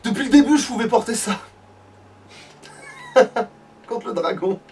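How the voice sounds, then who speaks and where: A man's voice: very high voice, a little slow, darkish tone. A man talks casually through a game he is playing. He rambles, breaks off and swears freely. The mic sounds distant, with faint noise behind. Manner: crude, swears freely, rambling and self-interrupting. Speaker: let's play gamer at the controls. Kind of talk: casual gaming commentary.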